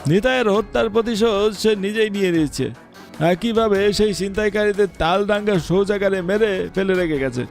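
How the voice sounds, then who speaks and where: A man voicing a village uncle in a horror cartoon, creaky-voiced, admonishing and gravely telling the tale. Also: high-pitched, a little fast, faint music behind. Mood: grave, explanatory. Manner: admonishing, expository storytelling. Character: village uncle, scolding, gravely explaining a haunting.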